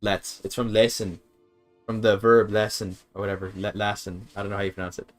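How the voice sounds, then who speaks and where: A man's voice: on the low side, little pitch movement, fairly quick, faint music behind. A man casually streaming a game, thinking aloud as he reads his chat and works through grammar. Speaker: streamer learning a language. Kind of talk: casual live-stream commentary.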